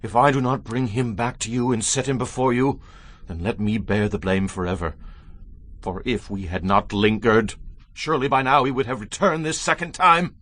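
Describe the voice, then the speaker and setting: An actor playing an earnest, anxious grown Hebrew son who pleads urgently in a dramatized scripture production. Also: male voice, medium pitch, normal speed, a bit bright, natural voice. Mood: earnest, pleading. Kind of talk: dramatized scripture audio acting.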